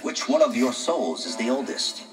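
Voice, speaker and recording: A man's voice: medium pitch, sing-song, moderate pace, close to an everyday voice, rough recording. A man playing an earnest, curious young monk champion in fighting game dialogue. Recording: game audio with loud music.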